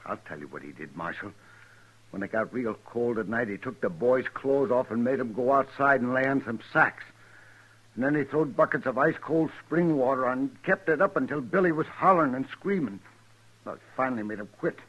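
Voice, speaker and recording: A man's voice: mid-range voice, expressive, a little fast, fairly bright tone, breathy edge. A man playing a stubborn, worried rancher with a folksy rural Western American accent in a radio drama. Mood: angry. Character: rough, plain-spoken rancher, worried and stubborn. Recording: dull vintage radio recording.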